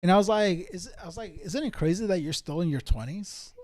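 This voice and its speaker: A man's voice: sits high, fairly quick, darkish tone, no room sound. A man around thirty talking casually to viewers as a streamer, in a rambling, slangy way that often circles back and repeats. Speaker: live streamer chatting with viewers. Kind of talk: casual chat.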